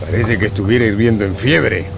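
An actor playing a cautious one-armed English sea captain in a radio play. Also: male voice, lowish voice, fairly quick, gravelly, noisy background. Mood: wary. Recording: radio drama over music.

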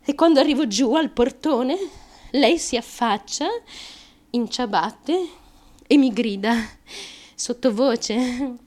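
A woman with a fairly high voice delivers a first-person stage monologue for radio. Her storytelling runs on breathlessly, clause after clause. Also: slow, long pauses, darkish tone. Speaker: actress.

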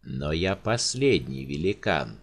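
A man voicing a gentle, mournful four-hundred-year-old male giant in an audiobook, speaking deep, heavy and slow. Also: lightly acted. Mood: bewildered.

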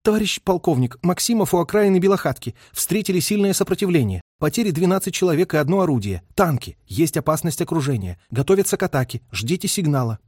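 Military radio operator, voiced by a male narrator: raised pitch, urgent sing-song repetition, frantic under fire, war story. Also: lively intonation, brisk, hardly any pauses, darkish tone, no room sound, clean studio sound. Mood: tense, reporting. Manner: urgent, repetitive chanting calls. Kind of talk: audiobook character voice, war novel.

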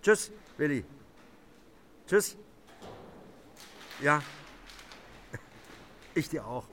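A man's voice: fairly high pitch, big pitch swings, extremely slow, fairly bright tone, a little hiss or hum, full character voice. An actor playing a self-assured male politician full of bravado on a radio drama phone call, in clipped phrases. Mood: confident.

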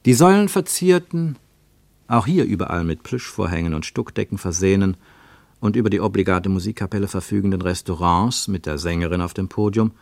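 An actor as the narrator of a literary radio play, telling a travel story in measured, rhythmic phrases. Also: male voice, low-pitched, gravelly, a little mellow, dry recording. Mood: measured, descriptive.

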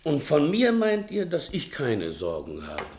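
An actor playing a fat, self-pitying servant who fancies himself a playwright, melodramatic and wheedling, in a 1960s German radio play. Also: male voice, on the high side, wildly swooping pitch, measured pace, a little hiss or hum. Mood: plaintive, servile. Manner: melodramatic, wheedling, swinging from hushed to declaiming.

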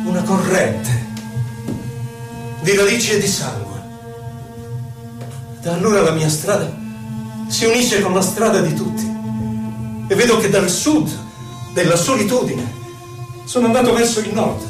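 A man gives a solemn, theatrical poetry recitation, declaiming in drawn-out phrases. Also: high voice. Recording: very echoey, distant stage recording with music. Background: prominent violin music.

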